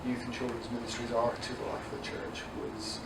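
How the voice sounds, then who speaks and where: A man's voice: medium pitch, very animated, a little fast, gravelly. Echoey Irish-English accented man in his forties. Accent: Irish mixed with English. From south Dublin, Ireland. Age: 40s. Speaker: youth ministry director. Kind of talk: conversational interview answers. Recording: echoey, distant mic, noisy room.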